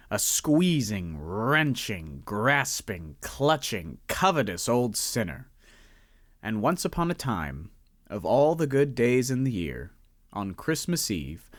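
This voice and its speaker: A man narrating a radio drama in a measured, expressive storytelling manner. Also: mid-range voice, dry recording, studio quality.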